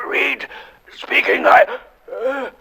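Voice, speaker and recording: A man's voice: very high-pitched, vocal fry, sharp tone, full character voice. A man acting an earnest doctor and investigator in a radio suspense drama, reporting in a precise, formal manner through dull, narrow sound. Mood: faltering.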